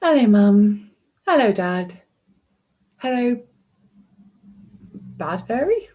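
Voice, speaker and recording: A woman's voice: on the high side, very animated, slow pace, narrow, tinny audio, voice pushed up. A woman voicing a tough, independent young princess in a storybook, speaking firmly and bluntly. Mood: breezy. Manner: firm, blunt.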